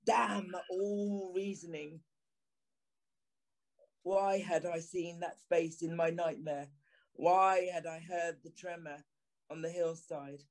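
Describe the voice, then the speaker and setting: A haunted signalman tells his own tale in an audio drama. His voice is fairly high for a man and very slow. He sounds grave, deliberate and anguished. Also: a little mellow. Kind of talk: dramatic first-person audio drama narration.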